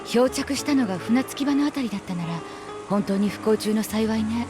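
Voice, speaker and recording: Woman acting a very fast-talking composed lady. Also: a little high, over music, natural voice. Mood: concerned.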